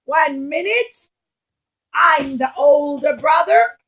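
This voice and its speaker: A woman voicing an indignant, entitled older brother in a children's Bible story, declaring loudly and slowly. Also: high-pitched, lively intonation, heavily acted. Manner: loud, slow, emphatic declarations.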